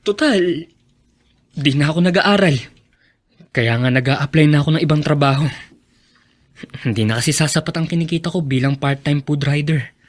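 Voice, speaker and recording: Actor as a high-voiced, earnest young Filipino son. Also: male voice, normal speed, long pauses, natural voice. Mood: troubled, sincere. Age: young adult. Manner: earnest, respectful. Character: devoted, determined young son of an ailing mother. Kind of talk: Filipino radio drama acting.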